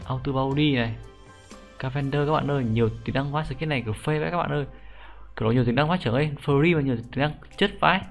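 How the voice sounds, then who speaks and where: A young man giving a casual, slangy game tutorial as an excitable YouTuber, talking viewers through a menu. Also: mid-range voice, fast, vocal fry. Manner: informal, excitable slang, repeated sentence-end particles. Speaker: gaming YouTuber. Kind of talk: casual screen-recorded game script tutorial. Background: music.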